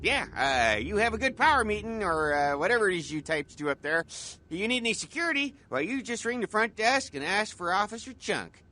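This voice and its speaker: A chummy, dim night-shift security guard for a comic video game. His voice is fairly high and bright, bouncing around in pitch. He is eager, hesitant and bumbling. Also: sing-song, a little fast, a little hiss or hum, exaggerated voice. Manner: bumbling, hesitant, over-friendly.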